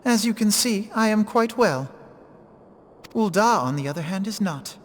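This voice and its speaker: A man playing an articulate, self-possessed gentleman in a fantasy video game, speaking formally and eloquently. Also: high voice, expressive, moderate pace. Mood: concerned. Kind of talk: video game cutscene acting. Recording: long reverb, faint background noise.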